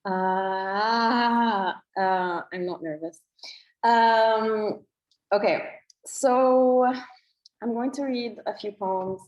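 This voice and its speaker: A woman's voice: medium pitch, extremely slow, darkish tone, dull audio. A young woman from Egypt, a poet, speaking casually and hesitantly as she introduces her reading. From Cairo, Egypt.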